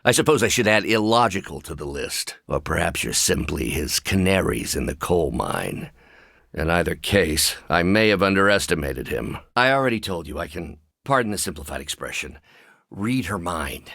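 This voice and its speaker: An actor voicing a brilliant, anxious, wry scientist with buried anger in a video game, speaking in precise, articulate, dryly sardonic phrases. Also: male voice, low-pitched, lively intonation, moderate pace, vocal fry, fairly bright tone, dry recording, clean studio sound, heavily acted.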